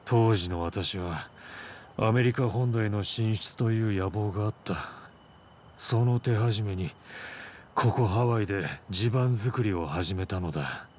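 Actor as a grave, low-voiced mafia boss. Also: male voice, a little slow, darkish tone, slight background noise, close to an everyday voice. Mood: somber recounting. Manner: formal, solemn storytelling. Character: proud, wounded Chinese mafia boss, a grave older man. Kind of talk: Japanese video game cutscene acting.

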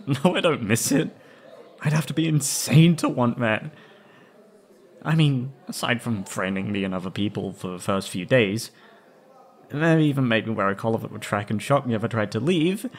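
A young man acting an anxious, wistful former captive in a scripted roleplay audio drama, speaking hesitantly and haltingly. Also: mid-range voice, lively intonation, breathy edge. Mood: defensive, uneasy.

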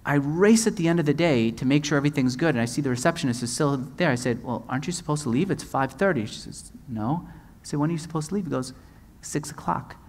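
A man's voice: a little high, fast talker. A man interviewing investors on a conference stage, telling stories and quoting the people he met, with a headset mic. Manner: expressive, gestures broadly, quotes others in dialogue. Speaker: interviewer, panel moderator. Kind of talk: animated onstage storytelling. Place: conference stage before a large audience.

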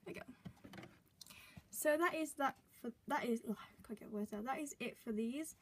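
A young woman with a slightly croaky voice, chatting casually as she narrates each step of a pet-care routine while filming on a handheld phone at home. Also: on the high side, normal speed. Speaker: pet keeper vlogger. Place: indoors at home by snail tanks. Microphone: handheld phone camera. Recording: noisy home phone recording.

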